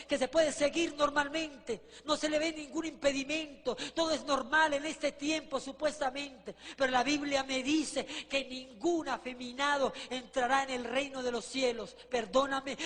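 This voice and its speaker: A man with an extremely high voice delivers a sermon as vivid testimony. His manner is fervent, punctuated by rhetorical questions to his listeners. Long reverberation from a large hall trails his words. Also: fairly bright tone. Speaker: preacher giving a testimony.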